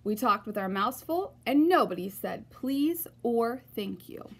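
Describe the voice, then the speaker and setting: A woman reading a children's storybook aloud in an expressive narrating style. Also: fairly high pitch, unhurried, creaky, slightly rough. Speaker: storybook reader.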